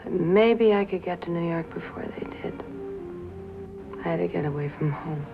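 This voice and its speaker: An actress playing a tough, bitter young nightclub singer who drinks, with an American accent and a sardonic, drawling delivery, in a 1950s film drama. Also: female voice, fairly low pitch, normal speed, faint music behind, close to an everyday voice. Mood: wry, bitter. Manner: sardonic, drawling, dwelling on and repeating words. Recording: tinny, hissy 1950s film soundtrack.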